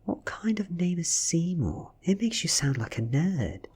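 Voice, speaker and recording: A man voicing an ancient female vampire posing as a blunt, teasing high school girl in a softly read story. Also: big pitch swings, measured pace, heavily acted. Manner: forthright, teasing, boastful. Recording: close, soft-spoken ASMR recording with husky breath.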